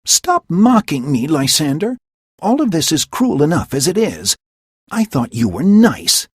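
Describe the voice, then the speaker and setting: A man voicing a hurt, indignant young woman with a breathy voice and raised, wounded pleading. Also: a little high, wildly swooping pitch, measured pace, no echo, studio quality, voice pushed up, exaggerated voice.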